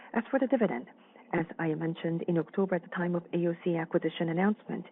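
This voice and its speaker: Dull-sounding, measured financial call speaker. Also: medium pitch. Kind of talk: formal financial results presentation, interpreted. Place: conference call line. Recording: dull, band-limited phone-line audio.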